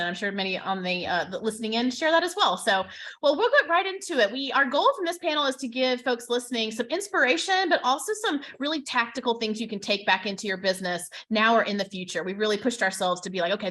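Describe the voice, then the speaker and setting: Woman moderator, bright tone, somewhat high voice, upbeat, gushing. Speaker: panel moderator. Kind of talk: moderating a business panel.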